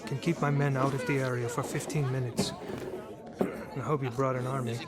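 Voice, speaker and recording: Man playing a wry crooked cop contact in a game, medium pitch, really noisy street. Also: flat delivery, normal speed, over a noisy crowd, natural voice. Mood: wry, guarded. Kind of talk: video game cutscene acting.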